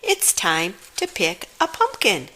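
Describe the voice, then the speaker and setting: A woman's voice: mid-range voice, wildly swooping pitch, slow pace, sharp tone, breathy edge, full character voice. A woman voicing an eager, bouncy young male frog in a children's storybook, exclaiming and sing-song. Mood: excited. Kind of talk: children's storybook read-aloud.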